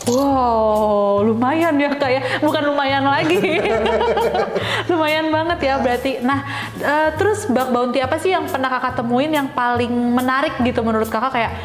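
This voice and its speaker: A woman's voice: high voice, unhurried, few pauses. A woman, hosting a casual interview in a chatty, informal, giggly way. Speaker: host interviewing a guest. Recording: roomy echo with faint music underneath.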